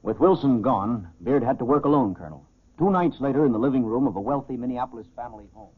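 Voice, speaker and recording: An actor playing a police chief narrating a criminal case in scripted 1940s radio style, with a husky voice. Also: male voice, medium pitch, animated, quick pace, slight background noise, lightly acted. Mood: brisk, official. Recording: tinny band-limited 1940s radio recording.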